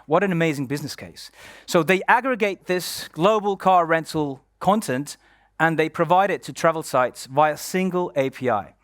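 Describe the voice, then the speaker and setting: A man's voice: fairly high pitch, normal speed, a bit bright, dry recording, clean studio sound. Upbeat man hosting on a conference stage. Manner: upbeat, playful, hypes the guest. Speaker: stage host and moderator. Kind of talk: live conference stage interview.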